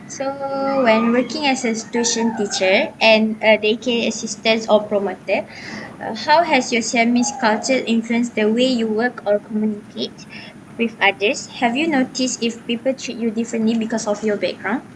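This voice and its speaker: A young woman, a student, reading out prepared interview questions in a measured way with short pauses. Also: fairly high pitch, fairly even intonation. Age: young adult. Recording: clear background noise.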